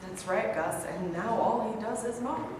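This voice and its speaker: A young actress in a staged play reading, playing a caring, churchgoing wife who pleads and nags. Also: female voice, mid-range voice, normal speed, lightly acted. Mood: pleading. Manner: pleading, nagging. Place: large rehearsal studio. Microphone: far camera. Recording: roomy echo with room noise.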